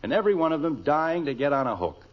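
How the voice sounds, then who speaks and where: A man's voice: a little high, fast. An actor playing an exasperated middle-aged husband and father, grumbling in old radio sitcom style. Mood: eager. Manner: grumbling, animated. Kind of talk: 1940s-50s radio sitcom acting. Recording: muffled, hissy old radio recording.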